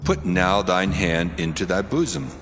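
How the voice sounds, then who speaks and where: Booming deep God voice, cavernous reverb. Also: male voice, expressive, fairly bright tone. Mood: instructing, solemn. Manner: solemn, declamatory, deliberate. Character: God, commanding solemn male deity of scripture. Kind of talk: dramatized scripture audio drama. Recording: long cavernous reverb under prominent music. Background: music.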